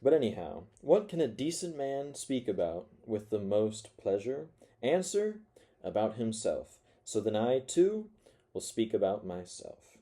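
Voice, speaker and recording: A spiteful, self-mocking forty-year-old man rants from his corner, voiced by a male reader. His voice sits low and dark, with a mellow softness under the bitterness. He swings between sour mutters and sudden loud sardonic bursts. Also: big pitch swings, unhurried. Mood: sardonic ranting. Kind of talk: first-person audiobook reading.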